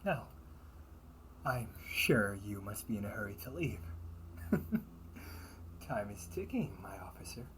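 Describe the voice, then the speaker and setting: A man playing a smooth, scheming older brother who brokers information for a crime syndicate, teasing and theatrical in an audio roleplay. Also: fairly low pitch, very animated, fairly bright tone, slight background noise, full character voice. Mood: satisfied, smug. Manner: silky, teasing, unhurried, theatrical.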